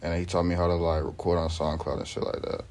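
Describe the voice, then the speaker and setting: A man's voice: deep voice, flat delivery, quick pace, a little mellow. A young man from Sweden, a rapper, chatting in a laid-back, slangy way as the interview guest. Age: young adult. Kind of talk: casual interview chat.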